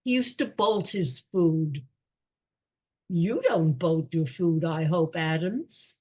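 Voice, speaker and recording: Woman doing a doddery old lord, radio play, slow n rambling, pompous, lowish pitch. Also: dark tone. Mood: musing, genial. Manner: pompous, rambling aristocratic musing. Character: doddering, absent-minded elderly English lord, genial, rambling. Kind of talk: radio play comedy acting.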